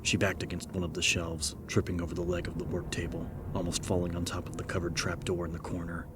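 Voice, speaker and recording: A man narrating dramatic horror fiction in a grave, measured manner. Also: low-pitched, completely flat delivery. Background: prominent background music.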